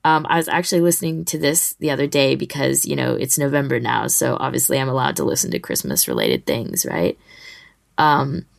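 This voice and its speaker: A woman with a low voice full of gravelly creak talks casually as a podcast host. Her delivery is informal and enthusiastic, starting and stopping as she goes. The sound is dry, with no room echo. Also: expressive, brisk. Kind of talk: casual chat, giving recommendations.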